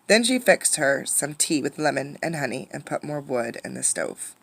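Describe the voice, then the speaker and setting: A woman reading a storybook aloud as a teacher, expressively, pausing to ask listeners questions. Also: low-pitched, very animated, vocal fry. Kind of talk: interactive storybook read-aloud.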